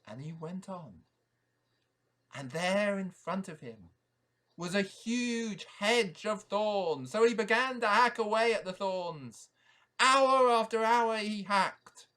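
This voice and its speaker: A man, a priest, tells a fairy tale in a dramatic storytelling manner with deliberate, repeated phrases. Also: sits high, animated, sharp tone. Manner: dramatic, repetitive, deliberate emphasis.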